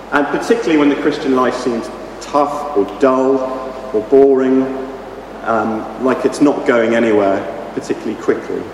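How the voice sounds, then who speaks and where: Croaky male preacher, hesitant and restarting, heard from across a reverberant hall. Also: medium pitch, darkish tone. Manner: halting, with many restarts and ums. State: slightly croaky voice. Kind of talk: sermon. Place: church hall. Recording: echoey hall from far off, noisy.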